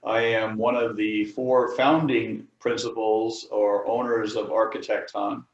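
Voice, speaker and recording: A man's voice: on the low side, level tone, measured pace. Hesitant man heard from a distance. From New York area, United States. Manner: hesitant, many fillers and restarts. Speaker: architect, firm co-founder. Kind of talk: interview answers. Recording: distant mic, dull roomy sound.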